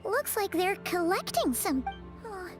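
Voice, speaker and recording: Tiny game fairy, extremely high squeaky childlike voice, swooping intonation, chatty, excitable, music behind. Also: female voice, unhurried, exaggerated voice. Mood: chatty, uneasy. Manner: chatty, exclamatory, speaks of self in third person. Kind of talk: video game character dialogue.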